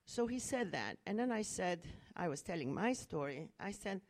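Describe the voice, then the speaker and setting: A woman's voice: fairly low pitch, very animated, normal speed. Earnest Turkish-raised woman telling a story. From Turkey. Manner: earnest, reflective, with frequent fillers and restarts. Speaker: speaker at a talk. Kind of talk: personal story in a public talk.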